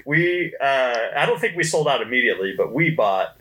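A man with a bright, forceful voice talks casually on a podcast. His pitch moves around a lot as he recounts things emphatically, in an animated way. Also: moderate pace, low-quality recording. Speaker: podcast co-host recalling company history.

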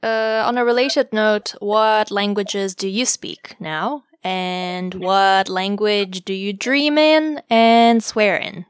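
A woman's voice: medium pitch, takes it slowly, few pauses, clear, resonant tone, no room sound. Curious woman interviewer, dry sound. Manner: curious, gently encouraging. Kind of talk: interview questions.

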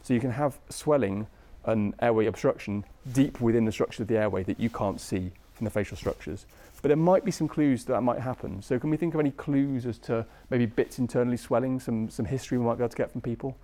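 A British man lectures at a brisk pace in a soft, mellow voice. He teaches informally, chatting through his points with colloquial phrasing and frequent tag questions. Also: mid-range voice. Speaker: medical lecturer, anaesthesia registrar.

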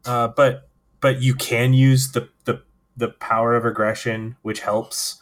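A man co-hosting a casual card-game podcast, explaining plays in a relaxed way with many fillers. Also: medium pitch, a little slow. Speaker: podcast co-host.

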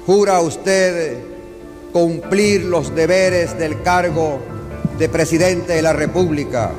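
A solemn congress president delivers a formal ceremonial address very slowly. His voice is a little high and rings in a large hall, with music over it. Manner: solemn, measured.

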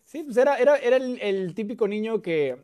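A man from Mexico with a Mexican accent, a guest telling relaxed, chatty stories with many fillers and repeated words. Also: extremely high pitch, a little fast. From Mexico (Coahuila). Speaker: guest, content creator. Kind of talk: casual storytelling chat.

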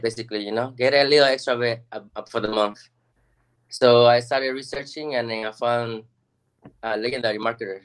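A man's voice: mid-range voice, a bit bright, clear, resonant tone, rough recording. A man from Peru as a guest on a video-call interview, earnest and modest, with many casual fillers.

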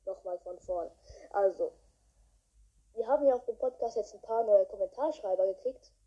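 Husky, dark-voiced rambling woman. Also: medium pitch, moderate pace. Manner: rambling, full of fillers. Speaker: podcast host. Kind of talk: casual podcast talk. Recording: dull lo-fi recording.

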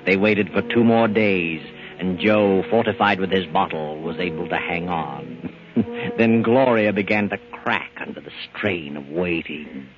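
A man playing a sinister, gloating storyteller, narrating a 1940s radio suspense tale with dramatic, deliberate phrasing. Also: deep voice. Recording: muffled old-time radio recording. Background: music.

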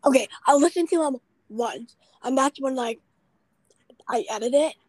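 Young woman podcast host, late teens, raspy and breathy, dry close sound, chatty and self-deprecating. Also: high voice, moderate pace, a little mellow, no room sound, poor audio. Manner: rambling, self-deprecating, many fillers. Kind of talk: casual chat.

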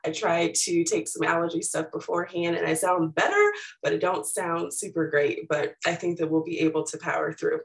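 A middle-aged woman, a little raspy from allergies, giving a chatty webinar presentation with plenty of fillers. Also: fairly low pitch, steady tone, moderate pace, poor audio. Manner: casual and chatty, many fillers.

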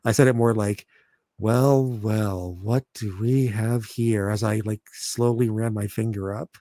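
A man in his thirties chatting casually as a podcast host, halting and often repeating words as he goes. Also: fairly even intonation, mellow, no room sound, studio quality. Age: 30s. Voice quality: deep voice. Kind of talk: casual chat.

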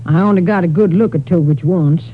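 An American actress playing a plain-spoken rooming-house landlady in a 1950s radio drama, folksy, frank and unhurried. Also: on the low side, breathy edge. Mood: matter-of-fact. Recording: thin, lo-fi 1950s radio recording.

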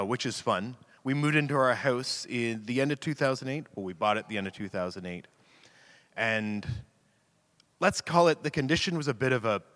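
A man giving a casual, conversational sermon as a preacher, chatty and self-deprecating, with a slightly raspy voice. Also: mid-range voice, fairly bright tone. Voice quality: slightly raspy from screaming into a mic. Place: church sanctuary. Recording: long hall reverb.

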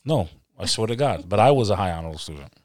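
A man with a fairly low voice hosts a casual podcast interview. His American speech carries an Albanian tinge. He talks in a jokey, slangy way, recorded close and dry. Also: lively intonation, fast talker, a little mellow, no room sound. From born in Texas, United States, to an Albanian family. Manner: jokey, uses street slang. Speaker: podcast host.

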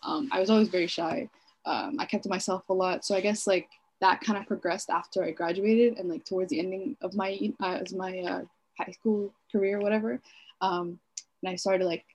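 A woman's voice: medium pitch, steady tone, fairly quick, a little mellow, low-quality recording. A woman in her twenties from Kenya, co-hosting a podcast in casual chat, talking freely with many fillers and frequent laughs. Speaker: podcast co-host.

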